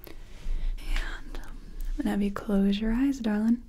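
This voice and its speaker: A woman playing a nervous, gentle makeup artist in a soft-spoken ASMR roleplay, breathy and hesitant, often murmuring to herself. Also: mid-range voice, fairly even intonation, slow pace. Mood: focused, unsure. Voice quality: breathy, whispery.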